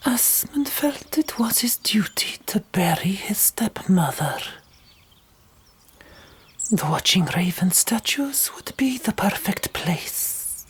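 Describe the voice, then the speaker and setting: A woman reads a story as an audiobook narrator in a hushed whisper. Her delivery is slow, deliberate and dramatic, in an ASMR storytelling style, at a medium pitch. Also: slightly rough.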